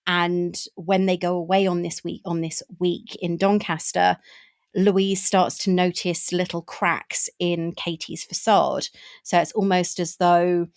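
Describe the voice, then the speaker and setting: A woman's voice: lowish voice, gravelly. A woman in her fifties hosting a book podcast, frank and conversational, with restarts. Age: 50s. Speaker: podcast host. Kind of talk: casual interview chat.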